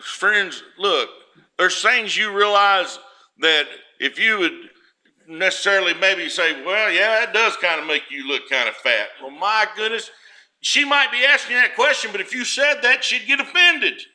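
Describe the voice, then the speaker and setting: A man's voice: sits high, a little slow, low-quality recording. A man preaching in a homespun, storytelling way. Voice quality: naturally loud voice. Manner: homespun, storytelling, rises loud for emphasis. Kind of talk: folksy sermon.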